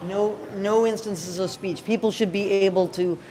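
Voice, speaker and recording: A man interviewing a passerby, asking calm, probing questions and giving brief acknowledgements. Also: high voice, moderate pace, a little hiss or hum.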